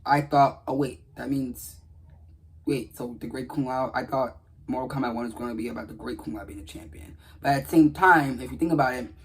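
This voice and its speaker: A man's voice: mid-range voice, brisk, a little hiss or hum, low-quality recording. A man giving casual, earnest commentary on game dialogue in a rambling, repetitive way. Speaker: gaming video creator.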